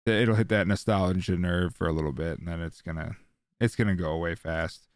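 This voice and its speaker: Man, low voice, podcast co-host, casual, slangy, sweary, dry recording. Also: fairly quick, smooth, no room sound. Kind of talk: casual panel chat about video games.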